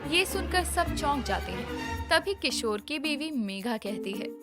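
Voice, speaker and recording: Animated female storyteller. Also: high-pitched, measured pace, music in the background. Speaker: narrator. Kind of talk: scripted story narration.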